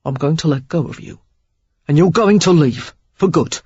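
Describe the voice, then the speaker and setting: A woman voicing a rough, intense man with a Cockney accent, speaking hoarsely and commandingly. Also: low voice, moderate pace, darkish tone, no echo, lo-fi sound. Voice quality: husky, hoarse.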